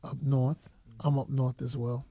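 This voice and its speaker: A man's voice: medium pitch, level tone, mellow. A man from the Bronx, hosting a radio show and chatting casually with his guests. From the Bronx, New York. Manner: easygoing, asks quick friendly questions. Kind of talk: casual on-air interview. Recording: noisy background.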